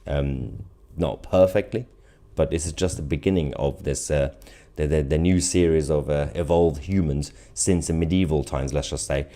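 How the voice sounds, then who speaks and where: Very deep-voiced man hosting a live stream. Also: moderate pace, mellow. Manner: chuckling, informal, off-the-cuff. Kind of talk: casual live commentary, reading viewer comments.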